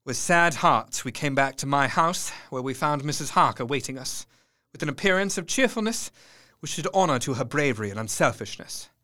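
A man's voice: mid-range voice, expressive. Man narrating a tense audio drama. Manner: tense, measured storytelling with hushed dips.

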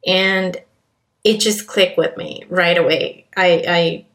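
Colombian-accented woman, low gravelly voice. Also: fairly even intonation, slow, a bit bright. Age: 30s. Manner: earnest, confiding, with fillers and restarts. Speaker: podcast host. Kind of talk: personal monologue. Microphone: podcast microphone.

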